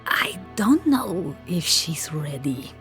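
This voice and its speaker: An actress playing a kindly older village woman in a video game cutscene, speaking gently and with wistful amusement. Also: deep voice, sing-song, takes it slowly, soft background music, exaggerated voice.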